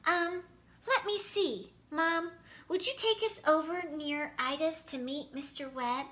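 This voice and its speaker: A woman voicing an earnest, excitable young schoolboy in a children's storybook. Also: high-pitched, measured pace, voice pushed up. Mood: polite, flustered.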